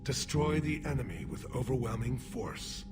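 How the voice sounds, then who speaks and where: A man playing a weary but resolute alien military leader in a video game, formal, solemn and measured. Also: mid-range voice, expressive, background noise, close to an everyday voice. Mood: grim, sorrowful. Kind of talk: dramatic video game acting.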